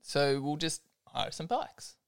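A man's voice: a little high, big pitch swings, slow, creaky. A man with an Australian accent, chatting casually and often laughing. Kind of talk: casual chat.

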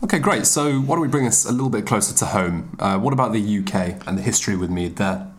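A man hosting a podcast, asking questions in a brisk, upbeat way. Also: fairly low pitch, animated. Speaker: podcast host.

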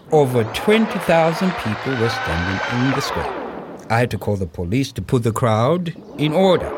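An actor playing a proud circus troupe director, recounting a high-wire act in an audio play with suspenseful, emphatic pauses. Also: male voice, breathy edge. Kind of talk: dramatic first-person storytelling in an audio play.

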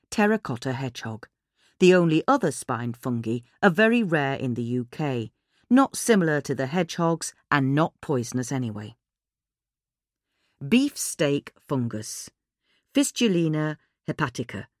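A woman reading a magazine article aloud in a steady, clear, instructive way. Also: low-pitched, no room sound, studio quality. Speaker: reader.

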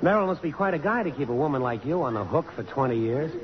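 An actor playing a sardonic, needling magazine reporter in a radio drama, dry, blunt and wisecracking. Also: male voice, medium pitch, animated, fast talker, crowd noise, lightly acted. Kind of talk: 1950s radio drama acting. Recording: dull old radio broadcast, music.

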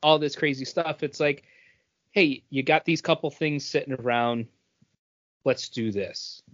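A man's voice: medium pitch, measured pace, a bit bright, dull audio. A man co-hosting a podcast, talking in an informal, conversational way. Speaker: podcast co-host. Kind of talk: casual chat.

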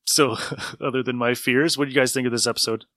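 A man hosting a podcast in casual, animated chat with his co-hosts. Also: mid-range voice, fast, dry recording, studio quality. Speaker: podcast host.

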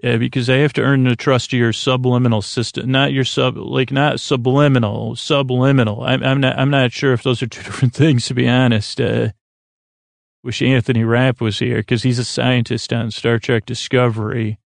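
A man hosting a bedtime podcast in a meandering, halting monologue, often stammering and correcting himself, with a gravelly, creaky voice. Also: medium pitch, little pitch movement, dry recording, clean studio sound. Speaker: podcast host. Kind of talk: rambling bedtime-story monologue to help listeners sleep.

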